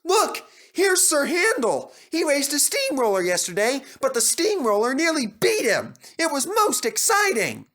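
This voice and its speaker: A man voicing an excited, eager young boy in a children's storybook. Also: very high voice, a little slow, few pauses, pitched above the performer's own voice, full character voice. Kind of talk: children's storybook character acting.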